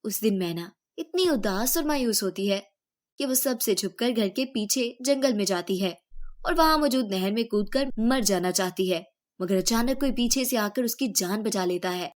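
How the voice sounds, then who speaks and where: A woman narrating a moral story in an even, storytelling delivery. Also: medium pitch, normal speed.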